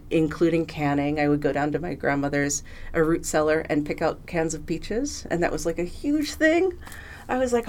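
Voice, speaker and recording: A woman with a low, full voice speaks as an interview guest. She is from Pennsylvania and talks in a chatty, eager way, with "uh" and "you know" all through it. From Pennsylvania, USA. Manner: chatty and enthusiastic, with frequent fillers. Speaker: interview guest, philosophy professor. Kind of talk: conversational interview.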